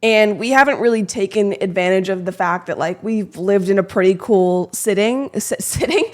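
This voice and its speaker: A woman's voice: mid-range voice, normal speed. Chatty young woman streamer. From lives in Texas. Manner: chatty, self-deprecating, fidgeting with her mic. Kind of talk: casual live-stream chat.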